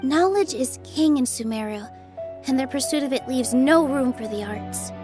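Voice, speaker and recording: A woman voicing a gentle, shy young dancer who sounds soft-spoken and unsure of herself, in a video game with music behind her. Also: high-pitched, expressive, moderate pace. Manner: soft-spoken and self-doubting.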